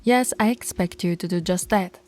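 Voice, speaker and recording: A woman voicing a stern, commanding female werewolf pack alpha in an ASMR audio roleplay, bossy and scolding, sometimes dropping to soft whispers. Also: fairly low pitch, normal speed, natural voice.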